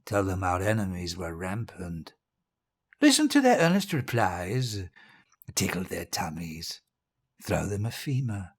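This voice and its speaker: An actor playing a dying, deranged dictator, cruel, grandiose and childish, in a theatrical audio drama monologue. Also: male voice, medium pitch, exaggerated voice. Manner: theatrical, volatile, slow and deliberate, swinging from hush to bellow.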